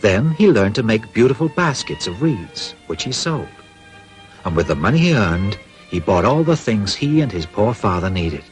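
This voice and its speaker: A man with a low voice narrates a children's cartoon tale from a script. His pitch moves in a lively way, with faint music behind him. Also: normal speed. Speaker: storybook narrator.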